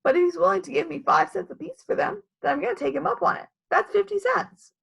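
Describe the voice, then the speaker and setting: A woman's voice: extremely high pitch, fast talker, vocal fry, a bit bright, highs cut off, voice pushed up, full character voice. A woman voicing an earnest, stubborn little schoolgirl in a storybook, emphatic and insistent.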